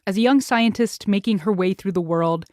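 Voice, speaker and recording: A woman's voice: mid-range voice, moderate pace, a little mellow, no room sound. American woman hosting a podcast, very dry sound. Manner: casual, playful. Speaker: science podcast host. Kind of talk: conversational podcast hosting and interviewing.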